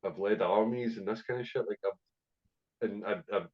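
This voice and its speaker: A man's voice: on the low side, moderate pace, breathy edge, muffled recording. A man with a Scottish accent telling stories as a podcast guest, swearing freely and rambling with plenty of fillers. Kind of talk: casual storytelling interview.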